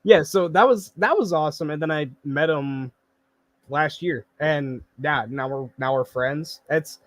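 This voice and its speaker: Casting: male host, a bit higher voice, easygoing, lots of filler words. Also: a little slow, dry recording. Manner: relaxed, laid-back, lots of "yeah" and "like". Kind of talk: casual chat.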